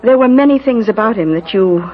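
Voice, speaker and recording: Casting: a woman as sugary, menacing crime matriarch, high lilting voice, coy and genteel, old muffled radio drama. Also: expressive, brisk, natural voice. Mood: smooth persuasion. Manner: coy, mock-genteel, euphemistic. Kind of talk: 1970s radio drama acting. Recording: muffled vintage radio broadcast.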